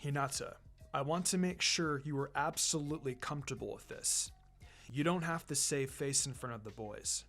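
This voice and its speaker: A man voicing a calm, firm, encouraging adult ninja teacher with a measured, instructive delivery in a story reading. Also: medium pitch. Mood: gentle, reassuring. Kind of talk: fan fiction reading with character voices. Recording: music behind the voice.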